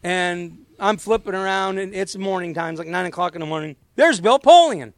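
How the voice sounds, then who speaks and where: A man's voice: high-pitched, fairly quick, dry recording. A man co-hosting a sports radio show, chatty and opinionated about football. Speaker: co-host.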